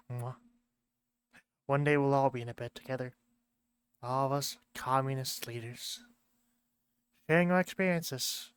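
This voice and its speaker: A man voicing a pompous, grandiose communist leader in a put-on foreign accent that keeps changing, declaiming slowly. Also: mid-range voice, smooth, no room sound, lightly acted. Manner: grandiose, slow declaiming speeches. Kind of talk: amateur lore video skit.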